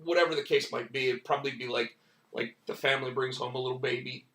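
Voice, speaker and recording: A man hosting a casual live stream, going hoarse and rambling with lots of fillers and restarts. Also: mid-range voice, fairly even intonation, quick pace, bright, forceful tone, roomy sound, distant mic, rough recording. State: losing his voice, hoarse. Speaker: comic book writer and stream host.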